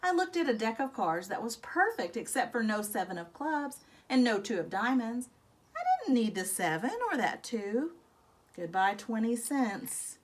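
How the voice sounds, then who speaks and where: Higher-pitched woman reading a children's story aloud. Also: lively intonation. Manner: dramatic, with drawn-out rueful phrases and emphatic repetition. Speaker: storybook reader. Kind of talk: expressive read-aloud of a children's story in a young boy's first person.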